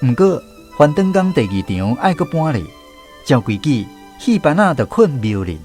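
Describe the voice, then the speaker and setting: A man's voice: on the high side, very animated, normal speed, darkish tone, soft background music. A man with a Taiwanese accent giving scripted storytelling narration for a radio drama.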